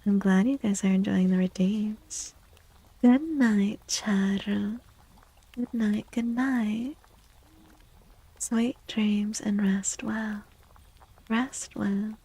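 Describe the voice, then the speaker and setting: A woman hosts a soft-spoken ASMR stream in a mellow, breathy voice that often drops toward a whisper. Her delivery is slow and gentle, with a creaky edge, and she soothingly repeats little phrases to listeners. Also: medium pitch, fairly even intonation, rough recording.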